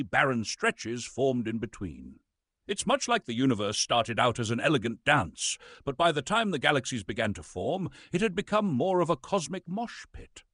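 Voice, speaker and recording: A man's voice: medium pitch, fairly bright tone, husky, dry recording, clean studio sound. A man playing a pompous, grumpy British Shakespearean actor who is posing as a lecturer in a comic monologue. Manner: pompous, irascible, declamatory.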